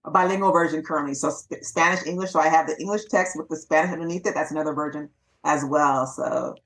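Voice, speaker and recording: Fast-talking, animated woman physician. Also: sits low, poor audio. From Los Angeles, USA. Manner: quick, animated, upbeat and chatty. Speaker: physician and author guest. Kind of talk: casual interview chat.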